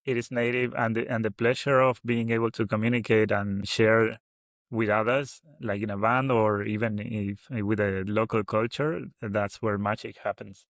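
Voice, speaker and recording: A native Spanish speaker from Argentina talks in English with an Argentine accent, earnest and a little halting. His voice sits on the low side, and it is recorded close and dry. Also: steady tone, no room sound. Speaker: agency founder, guest. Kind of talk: interview answers.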